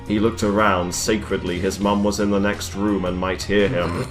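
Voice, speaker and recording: A man's voice: low-pitched, little pitch movement, moderate pace, faint music behind. A man hosting a comic read-aloud of a fan story, narrating and breaking off with mocking asides. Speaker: reader, host. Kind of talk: comic dramatic reading.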